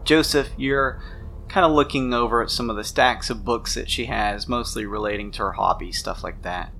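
A man's voice: medium pitch, normal speed, creaky, bright, forceful tone, a little hiss or hum. A man narrating a tabletop role-playing game as game master, improvising scenes in the second person.

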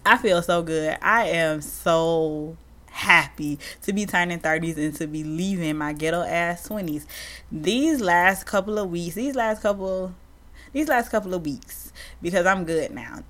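Woman podcaster, 30, lively solo chat, slightly low voice. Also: measured pace. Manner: animated and chatty, addresses listeners as y'all. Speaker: podcast host.

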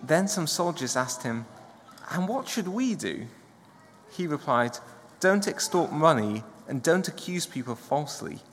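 A man's voice: a little high, unhurried. Man reading scripture in a reverberant church. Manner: steady, voices quoted speakers with lifts. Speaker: Bible reader. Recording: long church reverb, faint background noise.

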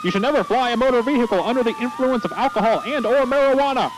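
A man's voice: very high voice, fast talker, background noise. A man voicing an earnest, over-polite, pleading motorcycle policeman in a cartoon. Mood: urgent. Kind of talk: cartoon voice acting.

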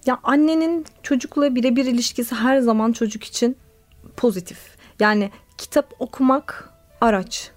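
A woman play therapist, a guest on a radio interview, explaining her ideas thoughtfully with many pauses and fillers. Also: on the high side.

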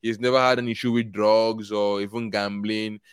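A man's voice: lowish voice, little pitch movement, moderate pace, smooth, dry recording, studio quality. A young man from Nigeria, chatting earnestly as a podcast guest, rambling with fillers and easy laughs. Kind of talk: casual interview chat.

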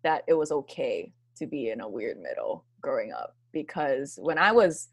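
A young woman from Hawaii, a graduate student, talking in a friendly, relaxed way as a guest over a video call. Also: rumbling low, moderate pace, breathy edge, no echo, studio quality.

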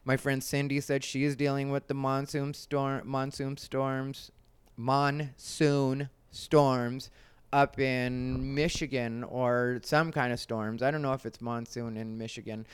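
A man's voice: mid-range voice, level tone, slow pace, clean tone, dry recording. An American man from Arizona talking in a casual, rambling and conversational vlog style, with emphatic moments. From Arizona, United States. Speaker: comedian and show host. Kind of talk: casual solo vlog chat.

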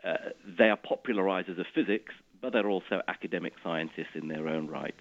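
A middle-aged man from Iraq speaks with a very low voice, explaining ideas in a relaxed interview manner. He often restarts and repeats words as he goes. The sound is dull and lo-fi, like an older radio line. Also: fairly quick. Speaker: physicist guest.

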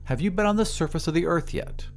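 A man's voice: on the high side, quick pace, faint music behind, pitched above the performer's own voice, close to an everyday voice. A man voicing an irritable, insistent mother in a science fiction audio drama. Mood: insistent questioning. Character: irritable mother in a future machine world.

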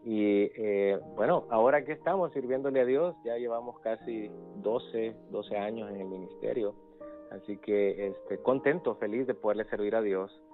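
A man in his thirties with a low voice, talking as a guest in a casual interview. He repeats words as he searches for phrasing. The sound is muffled and narrow, with faint music underneath. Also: moderate pace. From El Salvador. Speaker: interview guest, youth ministries pastor. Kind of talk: casual spoken testimony.

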